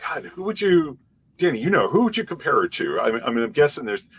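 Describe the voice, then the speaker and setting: A man's voice: a little high, big pitch swings, fast, a bit bright, slight background noise. A male guest on an audio roundtable, talking animatedly and gushing about a record he loves. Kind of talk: casual roundtable chat.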